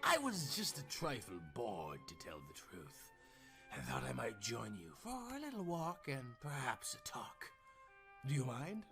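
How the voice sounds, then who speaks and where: A man voicing a playful, grinning devil in a storybook tale, theatrical and drawn-out, with mock-polite courtesy. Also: mid-range voice, huge pitch swings, creaky, fairly bright tone, soft background music, heavily acted. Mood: casual, sly.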